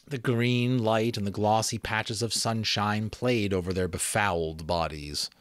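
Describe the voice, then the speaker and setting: A man hosting and narrating a book aloud, theatrical and expressive, with casual asides. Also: on the low side, unhurried, dry recording. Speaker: audiobook reader and host.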